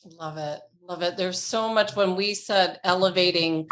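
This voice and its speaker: A woman's voice: fairly low pitch, measured pace, a bit bright. Enthusiastic woman podcast host. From Toronto, Canada. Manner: enthusiastic, asks long questions. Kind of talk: podcast interview.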